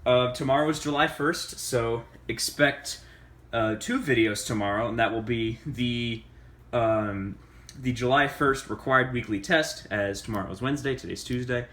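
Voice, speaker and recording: A man giving a casual, unscripted how-to demonstration, halting and often correcting himself as he talks. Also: mid-range voice, a bit bright. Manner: halting, self-correcting delivery. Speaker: host of a hobbyist demonstration video.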